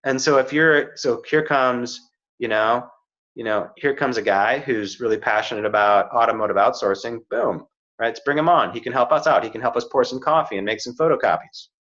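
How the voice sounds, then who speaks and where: A man's voice: mid-range voice, a little fast, fairly bright tone. Middle-aged male career coach, muffled audio. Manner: earnest, often checks in with "right?" and "you know". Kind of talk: casual advice chat. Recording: slightly dull, muffled audio.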